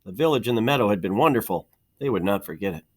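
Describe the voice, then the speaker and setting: A man's voice: fairly low pitch, animated, fast talker. Man reading a children's storybook aloud. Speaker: storybook reader. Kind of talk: expressive read-aloud of a children's picture book.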